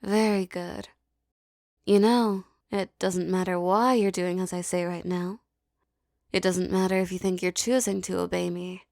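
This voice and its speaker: A woman speaks slowly and softly in a commanding, teasing tone, her voice carrying a gravelly fry. The recording is close and dry, with no room sound at all. Her words come unhurried and deliberate. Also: fairly low pitch, level tone, no room sound. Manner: slow, soft, commanding and teasing. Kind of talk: scripted hypnosis roleplay audio.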